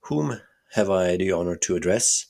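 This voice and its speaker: A languid, sharp-minded detective speaks with dry, understated calm, as a man reads him. The voice sits low and slightly husky. He never rises much above the level of the narration. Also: moderate pace, heavily acted.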